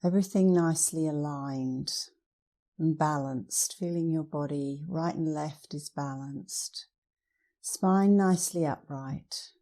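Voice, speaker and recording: A woman meditation instructor leading a guided meditation in a soothing, gentle, unhurried manner. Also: deep voice.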